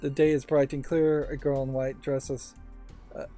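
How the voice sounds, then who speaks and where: Mellow-voiced man, halting, game music behind. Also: mid-range voice, flat delivery. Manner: halting, thinking aloud. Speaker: let's play host. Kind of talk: casual gameplay commentary with reading aloud.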